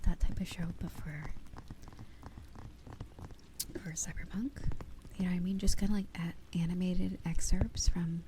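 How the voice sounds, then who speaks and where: A young adult woman whispering close to the mic as an ASMR streamer, rambling and giggling as she chats with her live viewers, her voice a little raspy. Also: on the low side, warm tone, slight background noise. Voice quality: slightly raspy. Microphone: close sensitive ASMR microphone. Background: rain sounds.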